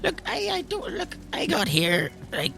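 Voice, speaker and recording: A man acts out a grumpy old pub regular, gravelly and swooping in pitch. He halts, repeats himself and bristles defensively, as in an improvised roleplay game. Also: huge pitch swings, a little slow, bright, forceful tone, full character voice. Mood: flustered, defensive. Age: older.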